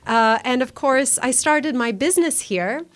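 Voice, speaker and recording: A woman's voice: high voice, lively intonation, measured pace. A woman in her forties, raised in Zambia after a childhood move from Sweden, answering interview questions in a friendly, slightly hesitant way. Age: 40s. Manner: friendly, a little hesitant, with fillers. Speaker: business owner and guest.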